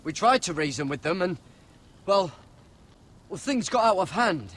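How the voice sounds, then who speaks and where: An earnest young runaway watchman speaks in a fairly high voice with lively intonation. He is uneasy yet sincere, in fantasy game drama. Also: unhurried, full character voice. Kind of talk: dramatic video game acting.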